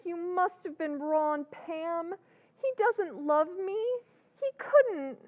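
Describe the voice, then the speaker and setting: A woman's voice: very high-pitched, animated, takes it slowly, exaggerated voice. A woman playing a fragile young sister in an old-time radio drama, tearful and pleading while she cries. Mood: distraught. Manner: tearful, pleading, self-reproaching. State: crying. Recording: tinny phone-like lo-fi sound.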